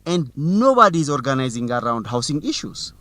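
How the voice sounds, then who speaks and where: An actor playing a teasing, suspicious young student newsletter reporter in an educational radio drama, with a determined manner. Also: male voice, mid-range voice, very animated, a little fast, fairly bright tone, no echo. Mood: skeptical.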